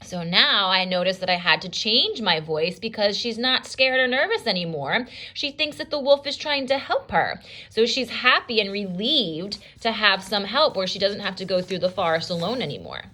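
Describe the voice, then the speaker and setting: A woman with a bright, forceful voice, teaching through a storybook read-aloud. Her pitch moves widely as she explains and narrates expressively. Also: expressive, moderate pace, hardly any pauses.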